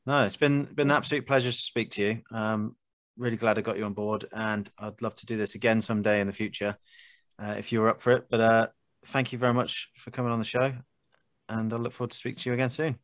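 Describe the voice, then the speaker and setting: A man's voice: fairly low pitch, level tone, quick pace, no echo. A man hosting a podcast in a casual interview style. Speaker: podcast host.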